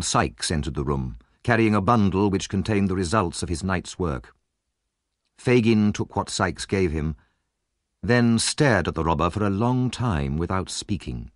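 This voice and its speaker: Low-voiced man narrating a dramatic audiobook. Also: dry recording, clean studio sound.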